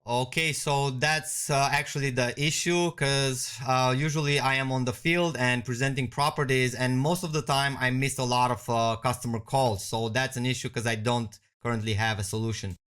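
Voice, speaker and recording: A man giving a conversational software walkthrough as a product demonstrator. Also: mid-range voice, fairly even intonation, normal speed, hardly any pauses, clean tone.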